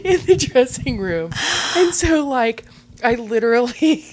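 A woman's voice: on the high side, lively intonation, unhurried, a little mellow, slight background noise. A young adult woman, a podcast guest, telling a story casually in a rambling way, full of fillers and giggles.

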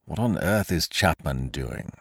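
Man playing a nervous, imperious rich gentleman, low-voiced. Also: big pitch swings, measured pace, gravelly, no echo, clean studio sound, heavily acted. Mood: impatient. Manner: curt, imperious, agitated. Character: wealthy, nervous, commanding man growing alarmed at an intruder.

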